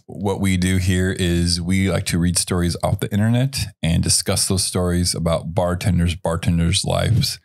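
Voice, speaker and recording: Low gravelly-voiced man hosting a podcast. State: raspy from lack of sleep. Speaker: podcast host. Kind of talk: casual podcast chat. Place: Iowa.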